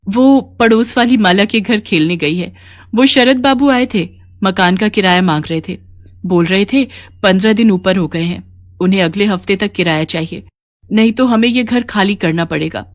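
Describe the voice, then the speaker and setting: A woman's voice: medium pitch, normal speed, dry recording, clean studio sound, close to an everyday voice. A woman voicing an anxious wife of a poor vendor in a children's cartoon story. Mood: worried. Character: worried pregnant wife of a poor street vendor. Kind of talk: animated children's story dialogue.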